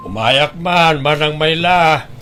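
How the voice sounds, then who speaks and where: A man playing a village neighbour in a radio drama, halting and deliberate as a bearer of news. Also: fairly high pitch, pushed and bright, soft background music. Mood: polite, serious. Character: visiting village neighbour, gossipy, hesitant bearer of bad news.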